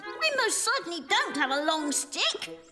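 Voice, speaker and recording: A woman's voice: very high voice, very animated, measured pace, exaggerated voice. A woman voicing a small, impatient, cheeky young cat in a children's cartoon, indignant and whiny. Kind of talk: animated children's cartoon acting. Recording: loud music behind the voice. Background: music.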